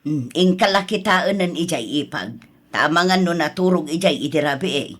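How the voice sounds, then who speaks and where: A woman's voice: on the low side, normal speed, close to an everyday voice. A woman with an Ilocano accent playing a gossipy, suspicious aunt in a radio drama.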